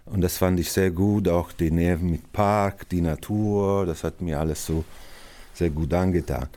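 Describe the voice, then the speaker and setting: Italian-accented man, sixties, deep voice, slow and halting, pauses to find words. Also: steady tone, dark tone. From Naples, Italy. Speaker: artistic director, interviewee. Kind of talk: reflective interview answers.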